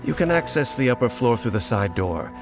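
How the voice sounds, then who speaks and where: An actor playing a stern, wary swordsman guide in a dramatic video game, grave, measured and commanding. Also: medium pitch, very animated, music in the background. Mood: calm, directive.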